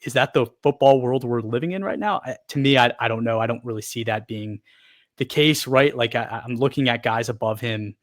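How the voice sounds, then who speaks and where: A man co-hosting a football podcast in casual chat, emphatic and animated, piling on rhetorical questions. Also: medium pitch, fairly quick. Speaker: podcast co-host, football analyst.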